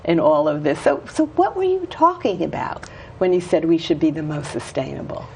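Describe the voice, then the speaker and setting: A woman's voice: low voice, huge pitch swings, fairly quick, vocal fry, a little mellow, slight background noise. Enthusiastic woman hosting a local show. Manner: enthusiastic, gushing. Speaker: host. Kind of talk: casual interview chat.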